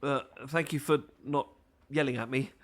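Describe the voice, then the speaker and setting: A male performer voices an adorkable British man in a romantic ASMR-style roleplay. He is nervous, bookish and apologetic, with a mid-pitched voice. His sentences stammer, stall and wander off into hesitant, flustered rambling. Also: fairly even intonation, normal speed, natural voice. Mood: sheepish, rambling.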